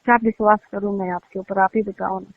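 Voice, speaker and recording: A woman's voice: medium pitch, level tone, a little fast. A woman on an emotional personal phone call, speaking in an accusing, hurt and insistent way. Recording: muffled narrowband phone-line call. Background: phone call recording.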